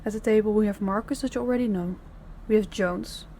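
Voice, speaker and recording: A woman's voice: mid-range voice, a little fast, mellow, natural voice. Woman playing a stern female boss, businesslike, commanding, audio drama, noticeable background noise. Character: stern female crime boss running her department heads. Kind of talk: scripted audio roleplay acting.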